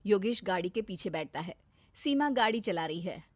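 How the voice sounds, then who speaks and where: A woman's voice: medium pitch, animated, moderate pace, no echo. A woman storyteller reading a scripted moral tale for children.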